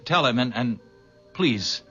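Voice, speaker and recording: A stammering young visitor. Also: male voice, medium pitch, lively intonation, takes it slowly, over music. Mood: earnest. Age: young adult. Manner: stammering, hesitant. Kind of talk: 1940s radio acting. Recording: lo-fi old radio broadcast.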